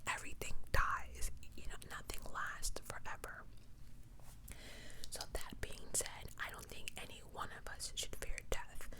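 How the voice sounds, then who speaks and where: An ASMR host speaking entirely in an unvoiced whisper, giving true crime commentary with conversational, opinionated asides.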